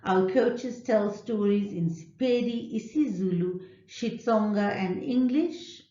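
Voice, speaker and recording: A woman giving an instructional presentation, enunciating clearly and deliberately as a literacy programme presenter. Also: mid-range voice, mellow. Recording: roomy, echoing, dull lo-fi audio.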